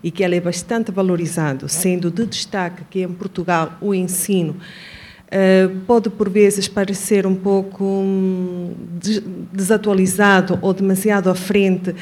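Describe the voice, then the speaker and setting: Female audience member at a public forum, native Spanish speaker with a Venezuelan accent, medium pitch, earnest and wordy, formal delivery. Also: steady tone, unhurried, a little mellow. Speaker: audience member asking a question, administrative worker. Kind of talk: spoken question at a public forum.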